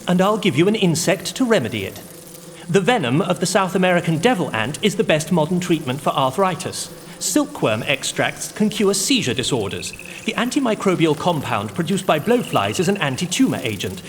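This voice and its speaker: High-pitched, prickly insect scientist. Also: male voice, very animated, moderate pace, slight background noise. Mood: defensive, eager. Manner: pedantic, fervent enumerating. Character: earnest, prickly male insect scientist held prisoner. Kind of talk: comedic audio drama acting.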